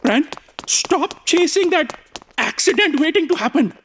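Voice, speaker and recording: A woman's voice: high voice, lively intonation, measured pace, slight background noise. A woman playing a cynical, long-suffering copy aide with a dry, sardonic manner in a scripted comedy audio drama. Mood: alarmed.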